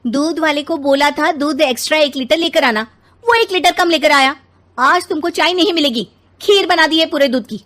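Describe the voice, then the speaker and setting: A woman voicing an irritated, bossy young mother and wife who scolds and retorts sharply in an animated family story. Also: high-pitched, moderate pace, pitched above the performer's own voice. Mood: irritated, scolding.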